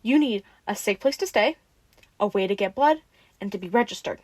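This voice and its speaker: A woman's voice: mid-range voice, animated, brisk, a little mellow, no echo, close to an everyday voice. A woman playing a teasing, bossy but caring vampire in an audio roleplay, talking informally and expressively to the listener. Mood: flustered, explaining. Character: teasing, sassy, caring female vampire taking in a newly turned one.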